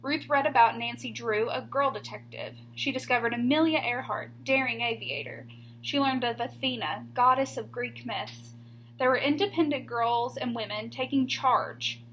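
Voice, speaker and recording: High-pitched woman reading a picture book aloud. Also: moderate pace, a bit bright, slight background noise, poor audio. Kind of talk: expressive children's picture book read-aloud.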